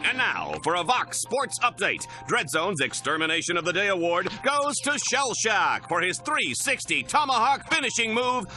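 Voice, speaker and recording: A slick, smarmy anchorman in a sci-fi game. His high voice swings showily up and down like a bombastic broadcaster. Loud music plays behind him. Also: very animated, normal speed, hardly any pauses. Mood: polished. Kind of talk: exaggerated broadcast news delivery.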